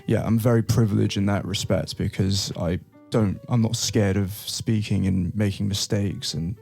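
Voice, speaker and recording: A man's voice: fairly low pitch, normal speed, creaky, slightly rough, soft background music. A young man, born in Brazil, chatting casually as a co-host and telling stories about his childhood. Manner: relaxed, thoughtful storytelling with restarts. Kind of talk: casual chat.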